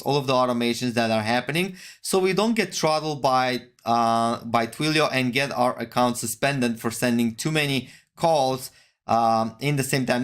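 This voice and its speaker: A man presenting an unscripted step-by-step software tutorial, often rephrasing himself and checking in as he goes. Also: medium pitch.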